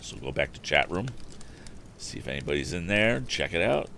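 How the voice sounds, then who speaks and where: Deep-voiced, animated male storytime streamer. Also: moderate pace, creaky. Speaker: livestream storytime host, reader. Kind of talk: animated book reading with casual asides. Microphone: streaming microphone. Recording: faint background noise on livestream.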